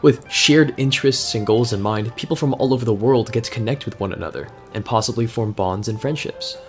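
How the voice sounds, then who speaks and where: A young man delivers a scripted speech in a relaxed, joking manner. His voice sits at a middle pitch for a man. He drops slangy asides and mock-dramatic flourishes into the prepared lines, and faint music plays underneath. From United States. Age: young adult. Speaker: student giving a class speech. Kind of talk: recorded speech, scripted but jokey.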